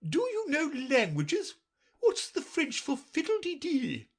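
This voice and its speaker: A reader voicing a bossy, imperious queen in a storybook audiobook, with a shrill, commanding manner and drawn-out emphasis. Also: huge pitch swings, exaggerated voice. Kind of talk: audiobook character voice.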